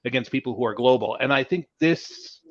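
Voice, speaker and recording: A man in his forties tells a humorous story on a video call, stumbling through repeated restarts. His call audio comes through dull and lo-fi. His pitch sits in an ordinary middle range. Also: brisk. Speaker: panelist, software executive. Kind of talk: panel discussion.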